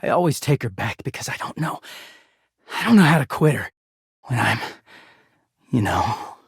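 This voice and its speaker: A bitter, sardonic young guy in a video game, a living pile of dirty laundry. His delivery swings widely between muttering and exasperation, and the sound is dry and a little husky. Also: mid-range voice, big pitch swings, normal speed, no echo, exaggerated voice. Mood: weary, resigned. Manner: sardonic, foul-mouthed, swinging from muttering to shouting.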